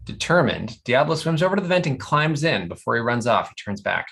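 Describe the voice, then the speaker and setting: A narrator reading out scripted stage directions at an animated comedy table read. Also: medium pitch, fairly quick, creaky.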